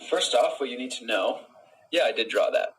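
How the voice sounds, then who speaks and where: A young man from Utah with a General American accent, teaching a live English pronunciation lesson in a relaxed, friendly way and greeting viewers from the chat. He sounds close to a phone camera in a small indoor room. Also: medium pitch, moderate pace, slight background noise, low-quality recording. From Utah, United States. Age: young adult. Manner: relaxed, friendly, explains step by step with fillers.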